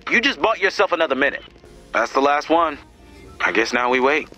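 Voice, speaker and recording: An actor playing an earnest, dutiful soldier in a video game, speaking sincerely and plainly. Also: male voice, fairly high pitch, lively intonation, a little fast, highs cut off, music in the background, lightly acted. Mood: exasperated, then weary, wistful. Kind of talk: video game mission dialogue.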